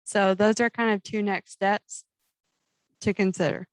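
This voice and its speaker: A woman at a middle pitch chairs an online meeting, brisk and upbeat as she moves through the agenda. Her voice comes through dry and close. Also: steady tone, no echo. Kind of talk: running a meeting. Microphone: video call.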